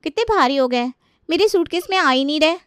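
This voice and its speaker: An eager, loving grown daughter voiced by a woman in an audio story. The voice is high and the recording very dry. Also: lively intonation, a little fast, fairly bright tone, no echo. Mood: mildly complaining. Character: eager, affectionate married daughter.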